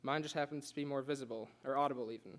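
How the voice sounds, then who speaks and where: A man's voice: mid-range voice, fairly even intonation, rapid-fire, fairly bright tone. A young man giving a prepared class speech, earnest, with occasional stutters and restarts. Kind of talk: prepared speech read aloud.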